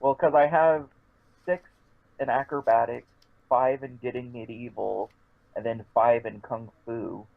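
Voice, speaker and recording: A man's voice: medium pitch, steady tone, unhurried, gravelly. Phone-like audio of a male game player. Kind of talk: casual chat during a game. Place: online voice call. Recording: thin, phone-like voice call audio.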